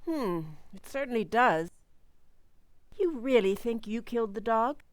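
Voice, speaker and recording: A woman playing a brisk, skeptical doctor in an amateur radio play. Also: fairly high pitch, animated, no echo. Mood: skeptical, probing. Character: brisk, skeptical doctor soothing an agitated patient.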